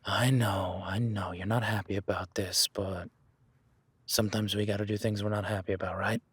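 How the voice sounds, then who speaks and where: A rugged cowboy rancher, played by a man, with a husky, breathy edge and a lowish voice. He keeps things laid-back and wry, needling a new hand in a first-person audio roleplay. The sound is dry and close, with no room around it. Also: level tone, normal speed, no echo. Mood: teasing. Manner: teasing, laid-back, talks softly to his horse.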